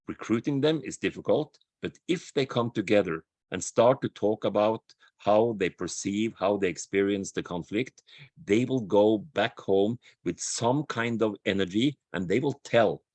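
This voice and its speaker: A man speaking as a panelist in a webinar, slow and measured, repeating phrases for emphasis over a video call. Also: fairly low pitch, darkish tone, dry recording. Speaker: dialogue practitioner, panelist. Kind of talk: webinar talk, reflective.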